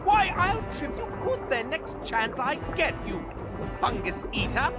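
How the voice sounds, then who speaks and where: A man's voice: very high-pitched, very animated, unhurried, over loud music, full character voice. A man voicing a belligerent, rowdy football hooligan critter in a video game, hurling indignant insults. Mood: indignant, combative. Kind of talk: video game cutscene acting.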